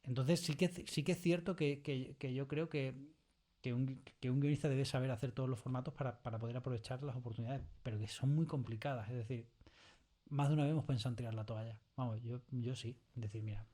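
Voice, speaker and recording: A man's voice: mid-range voice, fairly even intonation, fast, warm tone. A man with an accent from Spain talking casually as an interview guest, repeating phrases for emphasis. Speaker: audio fiction scriptwriter, interview guest.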